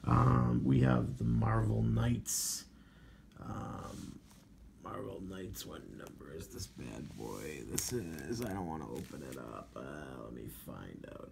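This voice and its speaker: A man of around forty, hoarse from losing his voice, chatting casually through a show-and-tell review with lots of "um"s. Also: lowish voice, lively intonation, takes it slowly, background noise. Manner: rambling, filler-heavy, enthusiastic about covers. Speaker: comic book collector vlogger.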